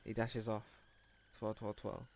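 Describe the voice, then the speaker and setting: A man's voice: fairly low pitch, monotone, unhurried, creaky. A man doing casual let's play narration, reading a visual novel aloud informally and stumbling over words now and then. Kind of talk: casual read-aloud game narration with asides. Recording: music behind the voice. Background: game music.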